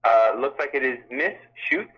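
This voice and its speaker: A man's voice: medium pitch, normal speed. A man moderating a live question-and-answer call as an official, speaking in a courteous, formal and somewhat hesitant way. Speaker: call-in town hall moderator and health system official. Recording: dull telephone conference line.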